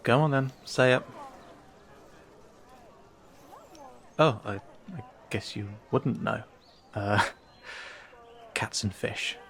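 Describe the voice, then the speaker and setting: A man's voice: fairly low pitch, slow, a bit bright. A man playing a wary young male cat-person guide in a fantasy audio drama, speaking casually and hesitantly straight to the listener. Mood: casual, awkward. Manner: hesitant, casual, conversational asides.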